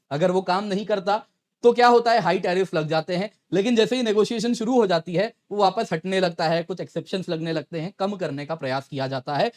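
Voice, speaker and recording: A man's voice: sits high, darkish tone. A man presenting current affairs as an educator, conversational, addressing viewers directly and posing rhetorical questions. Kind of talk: explanatory news commentary to viewers.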